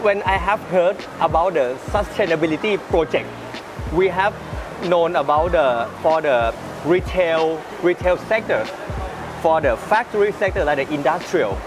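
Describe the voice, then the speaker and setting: A man's voice: on the high side, expressive, unhurried. A man hosting a casual on-location interview, eager and repetitive in his phrasing. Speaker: interviewer, show host. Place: outdoor water park. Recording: clear music behind, outdoors.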